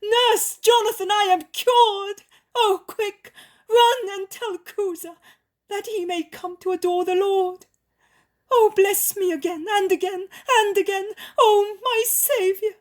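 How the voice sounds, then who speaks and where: A woman voicing an overjoyed healed noblewoman who cries out her devotion in a high, loud voice. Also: a little slow. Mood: ecstatic.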